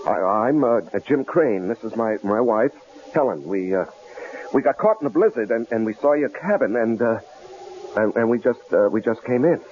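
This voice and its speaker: Nervous husband, male actor, hesitant and stammering, lively mid-range voice, vintage radio mystery, slightly hissy tape. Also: mid-range voice, normal speed, close to an everyday voice. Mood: nervous, apologetic. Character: nervous, cautious husband caught in a blizzard. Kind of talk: 1970s radio drama acting. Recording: dull, noisy old radio broadcast recording.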